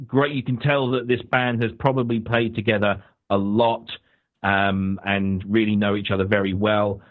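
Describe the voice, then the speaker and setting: A low-voiced man from the UK talks through his review of a song over a tinny, phone-like line. He rambles and hedges, with plenty of fillers and restarts. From United Kingdom. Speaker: guest panelist. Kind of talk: casual music review on a podcast.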